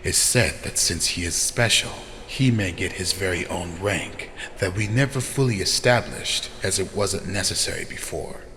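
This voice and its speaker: A man playing a solemn, formal vampire elder with measured delivery in a fantasy audio drama. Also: deep voice, sharp tone. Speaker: voice actor. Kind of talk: scripted fantasy character dialogue. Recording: long reverb, faint noise.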